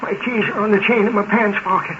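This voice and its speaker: An actor playing a sick, miserly old farmer with a rural American accent, querulous and demanding, in 1940s radio drama style. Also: male voice, high-pitched, steady tone, fast, lightly acted. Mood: crabby, suspicious. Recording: muffled, hissy 1940s radio recording.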